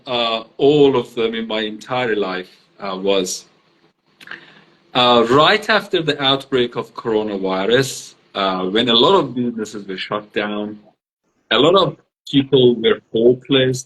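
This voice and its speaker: A man whose first language is Azeri, explaining his teaching ideas as an interview guest in a reflective way with many pauses. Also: mid-range voice, animated, fairly bright tone, poor audio. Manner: reflective, explanatory, frequent hesitations. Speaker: guest, academic trainer. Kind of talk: online interview conversation. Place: Turkey.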